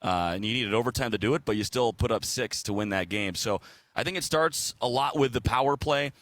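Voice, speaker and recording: A man's voice: medium pitch, a little fast, a bit bright, no echo, clean studio sound. A man talking as a guest sports broadcaster, explaining hockey analysis in a casual, conversational way. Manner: explanatory, casual, checks in with "right?".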